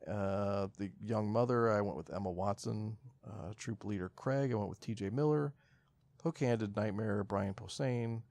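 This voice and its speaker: Creaky male podcast co-host, casual. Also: on the low side, normal speed, a little mellow, no echo. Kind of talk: casual chat.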